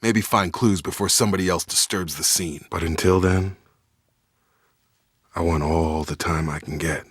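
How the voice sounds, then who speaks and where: An actor playing a loyal, earnest young space soldier in a video game, with a straight-talking military manner. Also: male voice, deep voice, fairly even intonation, moderate pace, husky, dry recording, clean studio sound. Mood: steady, serious. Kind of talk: video game character dialogue.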